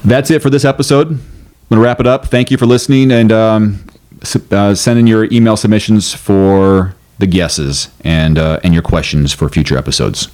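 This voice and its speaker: Male podcast co-host, fairly low voice, easygoing and chatty, restarts his sentences often. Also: moderate pace. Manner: relaxed, chatty, frequent restarts. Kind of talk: casual chat.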